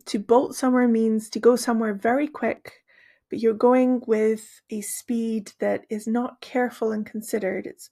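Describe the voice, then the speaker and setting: A woman teacher with a hoarse, gravelly voice walks through story vocabulary at a patient, explanatory pace. Her tone is husky and rough, sitting a little high for a woman. She pauses and restarts often as she explains. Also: darkish tone. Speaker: English teacher.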